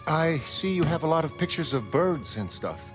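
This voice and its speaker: A man's voice: fairly high pitch, very animated, moderate pace, over music, pitched above the performer's own voice. A man voicing a frank, curious and wry American woman, a former costumed heroine, in a motion comic, with a blunt, teasing manner. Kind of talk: dramatic motion comic character acting.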